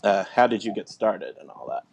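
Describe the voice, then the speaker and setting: A man with a fairly low voice hosts an online interview show. He sounds upbeat and welcoming as he brings in his guest. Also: animated, brisk, vocal fry. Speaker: interview host.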